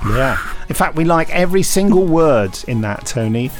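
Playful man, lively pitch. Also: normal speed, faint music behind. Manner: playful, jokey, theatrical when reading quotes. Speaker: podcast co-host. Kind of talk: casual chat and reading reviews aloud.

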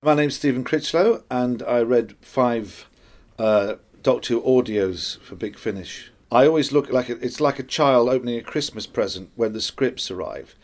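Conversational mid-pitched male actor. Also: moderate pace, a little mellow. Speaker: actor and audiobook reader. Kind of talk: conversational interview talk.

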